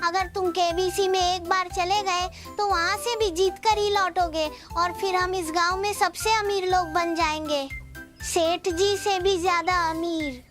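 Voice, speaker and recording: An actor voicing a naive, eager young sidekick in a cartoon, squeaky and chatty. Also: fairly even intonation, a little slow. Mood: admiring, chatty. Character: naive, eager young sidekick who flatters his master. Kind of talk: Hindi cartoon voice acting. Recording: music behind the voice.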